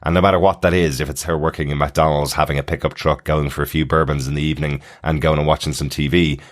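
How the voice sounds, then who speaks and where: Very deep-voiced male podcast host. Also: little pitch movement, fast. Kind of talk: casual TV review chat, reading listener feedback.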